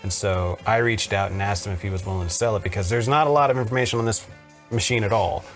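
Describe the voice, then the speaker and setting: A man's voice: lowish voice, fast talker, soft background music. A man casually presenting and explaining vintage machines in an easygoing conversation.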